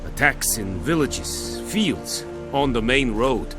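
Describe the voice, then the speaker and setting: An actor playing a stern male army commander in a video game, speaking in a formal, measured, commanding way. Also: mid-range voice, animated. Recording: game music behind.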